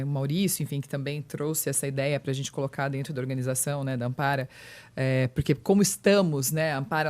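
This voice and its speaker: A woman hosts a relaxed podcast chat. She talks haltingly, piecing thoughts together and checking in for agreement. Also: deep voice. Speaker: podcast host, animal charity president.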